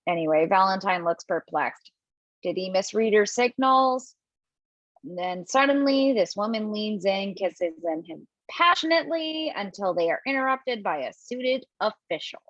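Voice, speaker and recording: A woman narrating stage directions at a table read from home, lively and expressive, with small slips. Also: mid-range voice, normal speed, dry recording. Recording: dull lo-fi, dry.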